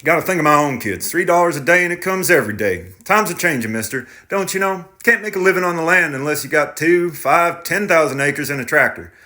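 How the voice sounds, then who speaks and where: A man's voice: on the high side, expressive, a little fast, few pauses, sharp tone, pitched above the performer's own voice. A man voicing a blunt young tractor driver. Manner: terse, clipped working-man talk.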